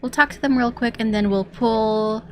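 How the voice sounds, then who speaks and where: A woman's voice: a little high, normal speed, slight background noise. A young adult woman giving casual, chatty, giggly live commentary as she plays a game. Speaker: game streamer.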